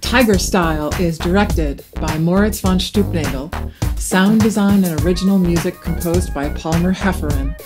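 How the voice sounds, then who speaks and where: A woman announces podcast credits from a script. Her voice sits a little low. Guitar music plays clearly behind her. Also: moderate pace. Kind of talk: scripted narration.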